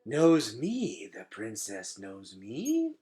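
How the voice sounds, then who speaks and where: A man voicing an awed, reverent giant cockroach in a storybook, with halting, repetitive phrasing. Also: on the low side, wildly swooping pitch, mellow, heavily acted. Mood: hushed awe.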